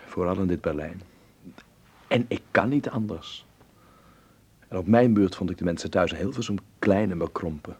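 A man's voice: low-pitched, normal speed, long pauses, darkish tone, slightly rough. Zeer hese, lage, aarzelende jongeman. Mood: melancholy. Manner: hesitant, reserved. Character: shy, dreamy, well-mannered upper-class young Dutchman. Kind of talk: radio drama acting.

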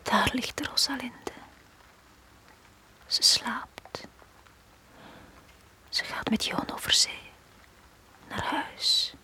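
An actress playing a forlorn, lovesick woman in a radio drama from the 1980s, speaking in a hushed, breathy, poetic manner. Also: a little high. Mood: longing, dreamy. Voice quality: breathy, whispery. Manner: slow, hushed, poetic delivery. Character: Tanja, forlorn, lovesick woman left on the quay.

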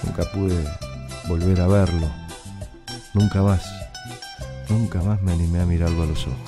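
A man's voice: low voice, fairly even intonation, moderate pace, natural voice. An actor playing a nostalgic Argentine man who recalls a lost youthful love in melancholic radio drama narration. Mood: wistful, regretful. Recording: music under the voice.

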